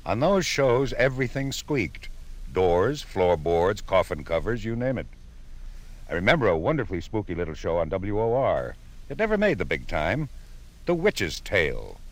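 Male documentary host, lowish, animated, wry, scripted yet conversational nostalgia. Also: moderate pace.

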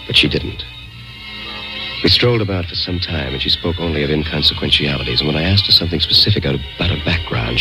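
An actor playing a curious, insistent man narrating his own eerie mystery in a deliberate, expressive radio drama style. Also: extremely low pitch. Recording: radio drama with music behind.

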